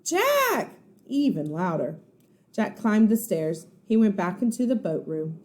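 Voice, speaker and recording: A woman, a first grade teacher, narrating a children's storybook in an expressive, suspenseful, dramatic way. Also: lowish voice. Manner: suspenseful, dramatic, pauses to explain words.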